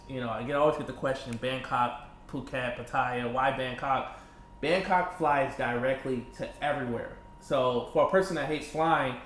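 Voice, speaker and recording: A man in his thirties from Michigan, chatting casually as an interview guest, animated, laughing often and filling with "you know". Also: mid-range voice, slight background noise. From Michigan, USA. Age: 30s. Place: indoors in a condo. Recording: echoey room with faint ambience.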